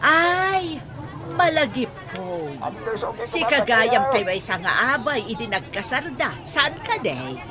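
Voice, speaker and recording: A woman's voice: a little high, very animated, slow pace, over a noisy crowd. A woman acting a gossipy, concerned older village mother-in-law in an Ilocano radio comedy drama, with an Ilocano accent.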